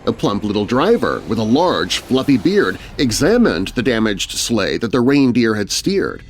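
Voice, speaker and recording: A man's voice: on the low side, big pitch swings, moderate pace, slight background noise. A man narrating a spooky rhyming children's story in a theatrical, dramatic way. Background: music.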